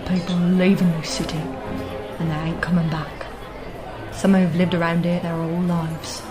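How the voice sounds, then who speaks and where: Actress as shrewd barkeep woman, lowish voice, folksy gossip, music and busy tavern crowd behind her. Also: flat delivery, a little slow, close to an everyday voice. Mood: grave, confiding. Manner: colloquial, knowing, conspiratorial. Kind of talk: fantasy audio drama acting.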